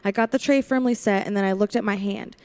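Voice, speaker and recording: A woman's voice: mid-range voice, quick pace, a little mellow, dry recording, close to an everyday voice. A woman playing a young, lonely drive-in waitress in a 1940s-style radio play, confiding and wary. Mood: wistful, confiding. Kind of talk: 1940s radio play acting, first-person narration.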